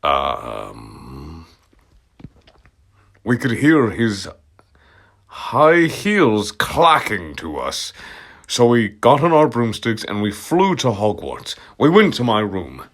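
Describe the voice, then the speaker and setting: Animated man reading aloud, unhurried. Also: lowish voice. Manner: amused, breaks into laughter and mocking asides. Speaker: amateur reader of fan fiction. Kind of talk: comedic dramatic read-aloud with asides.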